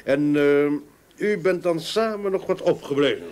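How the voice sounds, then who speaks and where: An actor playing a methodical private detective in a Dutch radio play, inquisitive and businesslike. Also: male voice, on the high side, normal speed, a little mellow, natural voice. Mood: inquiring. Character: probing, methodical male private detective, matter-of-fact. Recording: old radio play recording, background noise.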